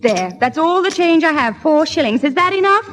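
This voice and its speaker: A woman playing an exasperated, sharp-tongued young older sister in a 1960s radio sitcom, snippy and scolding. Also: high voice, big pitch swings, normal speed.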